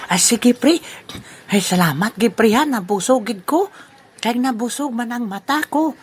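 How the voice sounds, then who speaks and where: A woman's voice: a little high, moderate pace, breathy edge. A woman with a Western Visayan Filipino accent playing a gluttonous, grumbling male freeloader in a comic audio drama. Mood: grateful.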